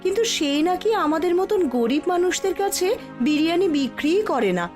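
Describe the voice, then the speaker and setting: A humble village mother, acted by a woman in a cartoon tale, with a high voice. She speaks earnestly and respectfully, with music underneath. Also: expressive, fairly quick, a little mellow. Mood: hurt, plaintive. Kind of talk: cartoon story voice acting.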